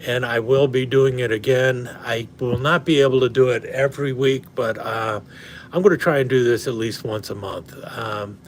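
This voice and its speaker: A man hosting a casual livestream and rambling with lots of fillers and restarts, his voice slightly hoarse from talking. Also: mid-range voice, steady tone, normal speed, a little hiss or hum. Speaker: knife collector livestream host. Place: at home indoors.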